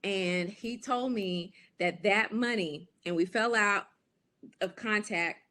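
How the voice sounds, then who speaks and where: An American woman, a business coach, giving a lively, preachy training talk and speaking straight to her audience. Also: medium pitch, slow. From United States.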